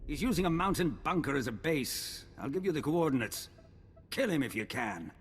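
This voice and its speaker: An actor playing a proud, indignant duke in a video game, with a blustering aristocratic manner. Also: male voice, sing-song, moderate pace, slightly rough. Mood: gruff resolve. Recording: reverberant hall with rumbling noise.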